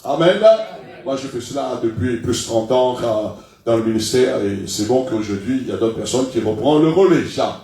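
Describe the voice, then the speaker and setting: A man's voice: medium pitch, brisk, mellow. A middle-aged pastor with a cold making chatty announcements to his congregation, often adding "hein" and hesitating. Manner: chatty, peppers speech with "hein", hesitant fillers. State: has a cold. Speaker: pastor leading the church meeting. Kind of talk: church announcements. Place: church hall. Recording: very echoey hall from far off.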